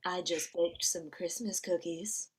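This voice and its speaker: A woman playing a flirty, fed-up Mrs. Claus in a comedy table read, breezy and expressive. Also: on the low side, a little slow, crowd noise, lightly acted.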